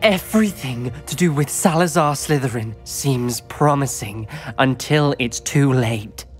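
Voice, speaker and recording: An anxious, principled British schoolboy wizard, acted by a young man for a video game. He speaks gravely and precisely, with reproach in his delivery. His voice is mid-pitched, over faint music. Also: unhurried, close to an everyday voice. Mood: grave, worried. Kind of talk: video game cutscene acting.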